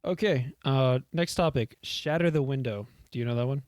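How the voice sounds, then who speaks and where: A man's voice: mid-range voice, moderate pace, a little mellow, dry recording. A young man with a Houston Texan accent, co-hosting a podcast in casual, rambling chat, laughing and swearing loosely. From Houston, Texas. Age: young adult. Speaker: podcast co-host. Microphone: desk mic, leaning in.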